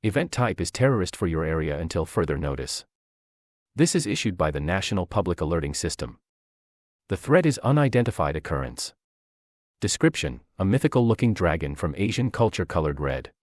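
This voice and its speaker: Deep guy reading a terse alert bulletin, lively pitch, dry sound. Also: a little fast, no room sound. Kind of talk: scripted emergency alert.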